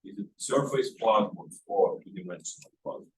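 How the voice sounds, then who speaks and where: A man's voice: lowish voice, brisk, a little mellow, echoey room. A man in a seminar audience putting technical questions to a speaker. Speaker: audience member asking questions. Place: seminar room. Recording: distant from mic, noisy seminar room.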